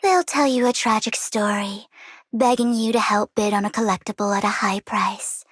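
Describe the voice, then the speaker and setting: A woman playing an elegant, secretive, wealthy young lady in a video game, breathy and poised, with a teasing, deliberate manner. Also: mid-range voice, dry recording, natural voice. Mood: knowing. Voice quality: breathy, hushed. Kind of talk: video game character acting.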